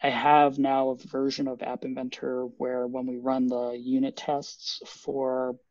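A man's voice: mid-range voice, completely flat delivery, a little slow, darkish tone, no echo. Man explaining on a dull video call. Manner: thoughtful, explanatory, with many fillers. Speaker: software developer presenting updates. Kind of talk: video call team meeting.

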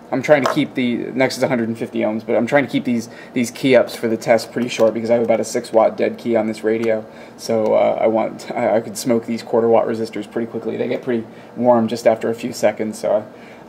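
A man's voice: medium pitch, little pitch movement, fairly quick, gravelly, darkish tone, slight background noise. A man giving an informal, hands-on technical explanation in an unscripted, hesitant and methodical way. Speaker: presenter of an electronics demonstration. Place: indoor electronics workbench. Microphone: camera microphone, behind the camera.

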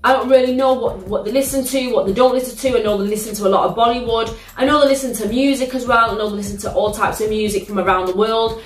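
A young woman with a British accent, hosting a reaction video in a living room, telling stories in an animated, slangy, exclamatory way. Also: fairly high pitch, fast, hardly any pauses, faint music behind. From United Kingdom. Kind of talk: casual reaction vlog. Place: living room, sitting on a sofa. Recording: roomy living-room echo.

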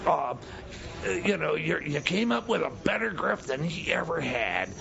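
A man's voice: a little high, sing-song, vocal fry, a bit bright, muffled recording, full character voice. A man playing a pushy, scheming, bumbling prison officer in comedy radio theater, stammering and repeating himself. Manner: stammering, repetitive, halting. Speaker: radio skit actor.

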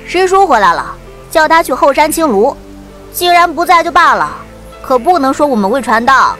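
A woman's voice: high-pitched, sing-song, normal speed, a bit bright, faint music behind. A woman acting a spiteful young Taoist girl with a sly manner in an audiobook drama. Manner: sly, malicious.

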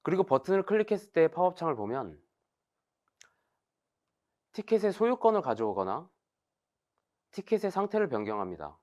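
A man in his thirties giving a formal, scripted technical webinar presentation in a measured, explanatory way. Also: fairly high pitch, big pitch swings, darkish tone.

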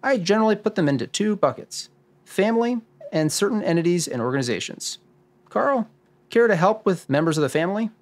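Higher-pitched, orderly male sleuth played by a man. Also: expressive, a little fast. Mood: assured, instructive. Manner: didactic, orderly. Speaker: lead expert guiding the discussion. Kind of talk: scripted radio drama dialogue.

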